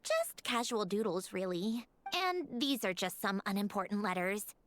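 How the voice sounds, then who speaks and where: A woman's voice: medium pitch, sing-song, normal speed, slight background noise, natural voice. An actress playing a proud, witty young woman inventor who muses in a self-assured way in a video game. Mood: wistful, offhand.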